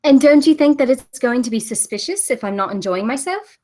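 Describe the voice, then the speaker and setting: A woman's voice: sits high, expressive, fairly quick, dark tone. A woman at a script read playing a bold, cheeky young woman who can turn haughty and indignant. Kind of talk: screenplay table read acting.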